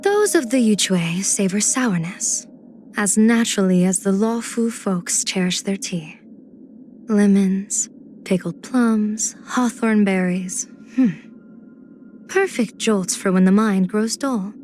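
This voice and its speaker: A woman's voice: mid-range voice, slow, darkish tone, close to an everyday voice. Game role: actress as playful female general-diviner, medium register, assured and teasing, theatrical delivery, light background noise. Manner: teasing, theatrical, poised phrasing. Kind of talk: video game character voice lines.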